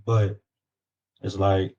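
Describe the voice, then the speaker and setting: Man, deep-ish voice, Southern US accent, informal, slangy, repetitive phrasing, casual interview. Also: flat delivery, very slow, mellow, highs cut off. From Louisiana. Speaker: independent rapper guest.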